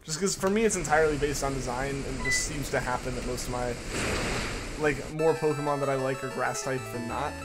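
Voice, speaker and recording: A man's voice: mid-range voice, a little fast, low-quality recording. A young man streaming live, chatting casually with viewers in a rambling way full of fillers, with a slight lingering cough. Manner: rambling, filler-heavy, repeats himself. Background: music playing underneath.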